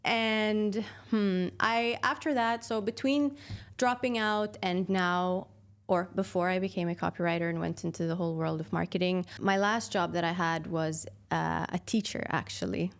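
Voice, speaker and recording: A woman in her twenties from Sweden, a podcast guest talking casually and thoughtfully about her path, with fillers and soft laughs. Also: fairly low pitch, steady tone, normal speed, gravelly, clear, resonant tone. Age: 20s. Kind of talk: casual interview chat.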